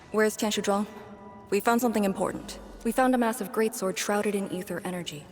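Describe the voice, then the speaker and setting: An actress playing a subdued, serious young female operative with a wry edge and a measured delivery in a video game. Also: medium pitch, steady tone, faint music behind, natural voice. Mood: composed. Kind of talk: video game story dialogue.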